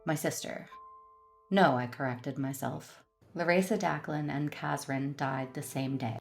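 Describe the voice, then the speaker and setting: A woman playing a solemn, conflicted but resolute Jedi warrior in an audio drama, speaking in a measured, earnest way. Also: deep voice, fairly even intonation, vocal fry, soft background music. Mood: brooding, somber. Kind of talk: first-person narration and dialogue in audio drama.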